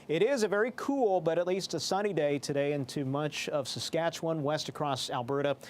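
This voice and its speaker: A man's voice: on the high side, a little hiss or hum. A man presenting a television weather forecast in a formal, explanatory broadcast manner. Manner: formal, explanatory broadcast delivery with technical phrasing. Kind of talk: live weather forecast commentary.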